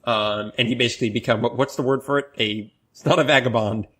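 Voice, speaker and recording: A man's voice: medium pitch, steady tone, fast, a bit bright. A man chatting casually on a podcast panel, explaining things in an analytical way. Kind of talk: casual chat discussion.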